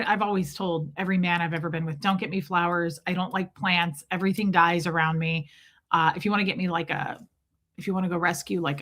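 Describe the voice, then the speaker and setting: A woman with an American Midwestern accent chatting candidly and casually with viewers as a livestream host. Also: bright, forceful tone. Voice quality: voice deeper than usual from lack of sleep.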